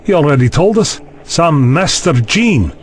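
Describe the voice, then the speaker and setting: A man's voice: a little high, sing-song, measured pace, slight background noise. A man voicing a sardonic, skeptical young official in an audio drama, dry and sarcastic.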